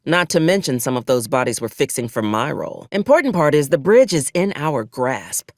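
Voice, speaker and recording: A woman's voice: extremely low pitch, sing-song, normal speed, darkish tone, no echo, clean studio sound, heavily acted. A woman playing an ambitious, ruthless military officer in a video game, wry, with formal old-fashioned wording mixed with folksy frontier slang. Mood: stern, calculating. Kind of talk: video game character dialogue.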